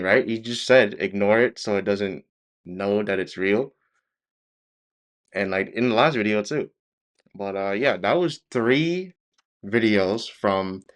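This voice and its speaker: A man with a fairly low voice gives casual reaction commentary as a video host. His delivery is nonchalant and low-key, with phrases that hesitate and trail off.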